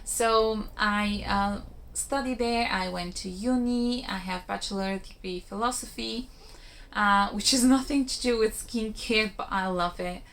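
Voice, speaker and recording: A woman's voice: mid-range voice, a little slow, creaky, a bit bright. A woman with a Bulgarian accent giving plain-spoken, informal skincare-style advice in a casual explanatory vlog.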